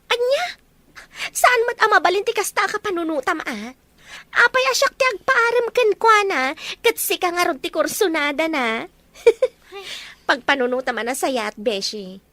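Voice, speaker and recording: A woman's voice: very high-pitched, expressive, measured pace, bright, forceful tone, no echo, heavily acted. A woman playing a pushy, teasing young best friend in an audio drama. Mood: coaxing. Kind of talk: Ilocano audio drama acting.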